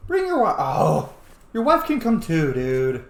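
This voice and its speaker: A man giving casual, chatty, sweary commentary as he plays a video game, thinking out loud. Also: fairly high pitch, huge pitch swings, unhurried, vocal fry. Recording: echoey room, faint background noise.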